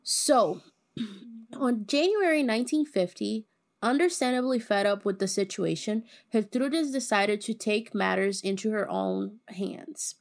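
A woman's voice: a little high, expressive, a little slow. A young woman co-hosting a true-crime podcast, recounting case details in a laid-back way with lots of fillers. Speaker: podcast co-host. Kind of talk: casual true-crime narration.

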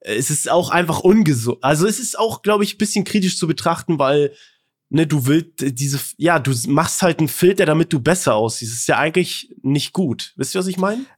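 A man co-hosting a podcast, chatting casually and haltingly in informal slang. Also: on the high side, expressive. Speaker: podcast co-host. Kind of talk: casual chat.

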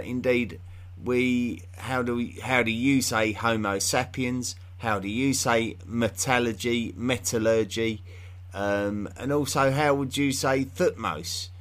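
A man's voice: on the low side, fairly bright tone. A man from England with an English accent, hosting a history podcast in an unscripted, rambling monologue full of fillers and restarts.

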